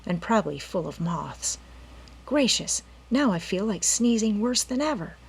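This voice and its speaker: A woman's voice: medium pitch, very animated, unhurried, vocal fry, breathy edge, full character voice. A woman voicing a defiant teenage girl detective, earnest and a little breathless. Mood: disgusted.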